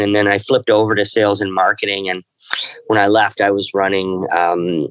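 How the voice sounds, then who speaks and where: A middle-aged man answering interview questions as a lecturer, thoughtful and halting, with many fillers. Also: fairly low pitch, smooth, no room sound, low-quality recording. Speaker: university lecturer guest.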